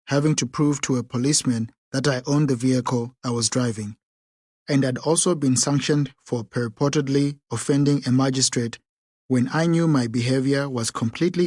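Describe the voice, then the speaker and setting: A man narrates a first-person memoir for an audiobook, reading in a measured, unhurried way. His voice sits at an ordinary middle pitch. The close studio recording is dry, with no room sound. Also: darkish tone, husky, dry recording.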